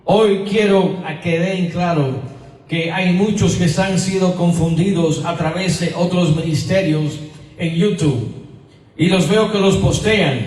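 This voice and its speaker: A man with an American accent, speaking Spanish as a second language, preaching a sermon as pastor in a slow, emphatic teaching manner that repeats key words. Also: high-pitched, a little mellow. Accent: American English. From United States. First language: English. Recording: echoey hall, voice from far off.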